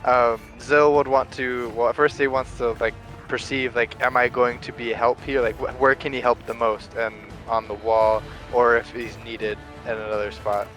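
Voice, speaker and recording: A man's voice: mid-range voice, flat delivery, normal speed, a bit bright. A man playing a tabletop game, tentatively thinking aloud in casual talk. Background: faint background music.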